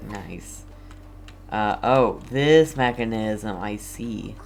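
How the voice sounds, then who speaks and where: Slow, low-key game streamer. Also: vocal fry. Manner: low-key, hesitant, talks to the game characters. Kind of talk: casual gameplay commentary.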